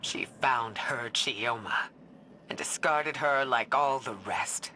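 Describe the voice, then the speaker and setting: A woman playing a grieving, resolute scientist in a dramatic game cutscene, speaking gravely and with intensity. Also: very deep voice, slow, bright, forceful tone, a little hiss or hum, heavily acted, rough recording. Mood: sorrowful. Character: grieving, resolute female scientist, wounded by betrayal.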